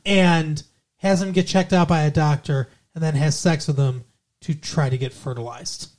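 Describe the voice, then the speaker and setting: A man's voice: a little high, steady tone, normal speed, darkish tone. A man co-hosting a podcast, casually talking through a film's plot and rambling with frequent restarts. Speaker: podcast co-host. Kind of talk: casual movie review chat.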